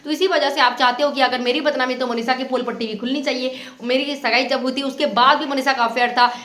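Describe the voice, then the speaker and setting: A woman's voice: high voice, steady tone, quick pace, fairly bright tone, reverberant. A woman giving opinionated reaction commentary as a channel host, reproachful and insistent, often repeating her points.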